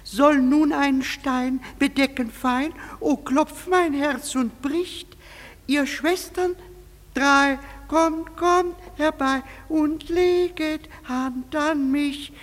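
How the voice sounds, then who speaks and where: A high, slow, wailing tragic maiden. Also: female voice, fairly even intonation. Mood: melodramatic grief. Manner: declamatory, drawn-out lamenting. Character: Thisbe in a clumsy play-within-a-play, melodramatically grieving lover. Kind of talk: theatrical radio play verse acting. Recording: long hall reverb, faint music behind.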